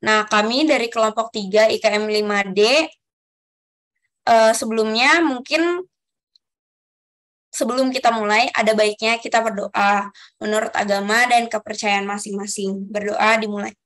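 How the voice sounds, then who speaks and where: A young woman leading an online group discussion as facilitator, politely reading out rules one by one with soft fillers over a video call. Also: a little high, fairly even intonation, unhurried, pauses often. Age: young adult.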